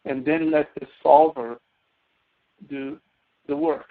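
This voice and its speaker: Male instructor, creaky mid-pitched voice, step-by-step tutorial delivery, thin telephone-quality sound. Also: fairly even intonation, slow pace. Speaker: course instructor. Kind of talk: live spoken software tutorial. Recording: narrow phone-like audio.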